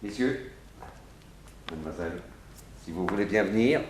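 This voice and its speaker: An actor playing a composed, formal sheriff in a 1960s French radio drama, measured in his delivery. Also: low-pitched, animated, slight background noise. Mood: grave, composed.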